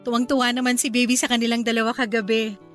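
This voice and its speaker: A woman's voice: a little high, level tone, quick pace, a bit bright, natural voice. An actress playing a caring young wife and mother in a radio drama, sweet and polite to her elders. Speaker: wife character. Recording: faint music bed behind.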